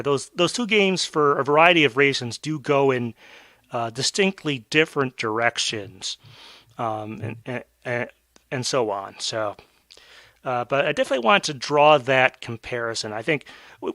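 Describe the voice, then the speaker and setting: A man hosting a casual podcast chat, his voice bright and forward. He rambles, circling back with plenty of ums and restarts. The sound is close and dry, with no room behind it. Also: mid-range voice, dry recording. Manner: rambling with many fillers and restarts. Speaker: podcast host. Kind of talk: casual discussion.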